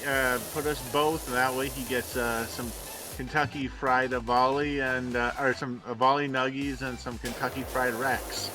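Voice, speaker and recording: Man, medium pitch, casual game-stream banter, noisy background. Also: little pitch movement, normal speed.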